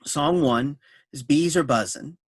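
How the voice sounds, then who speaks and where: A man reading scripted introductory notes and stage directions as a narrator, in a measured, explanatory way. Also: fairly high pitch, husky, no room sound.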